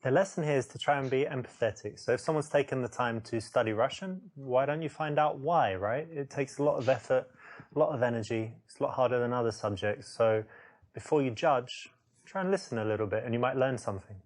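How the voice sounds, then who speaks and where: A man's voice: mid-range voice, fairly quick, natural voice. A man with a British accent, from London, acting out flirting conversation and dating advice in an interactive video game. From London, England.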